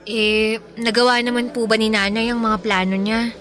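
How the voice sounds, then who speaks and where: A woman's voice: fairly high pitch, flat delivery, normal speed, a bit bright, lightly acted. A woman with a Filipino accent playing an aggrieved, pouty but respectful teenage daughter in a radio drama. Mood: curious. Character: aggrieved teenage daughter about to turn eighteen.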